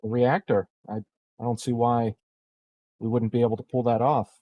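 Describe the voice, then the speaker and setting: Man, tabletop player, medium pitch, casual chat, dry close sound. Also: little pitch movement, moderate pace, dry recording, highs cut off. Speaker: player in a tabletop roleplaying game.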